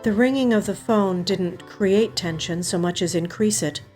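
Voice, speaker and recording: A woman reading a first-person mystery story as a scripted narration, measured and expressive. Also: mid-range voice, soft background music. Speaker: actor reading a short story.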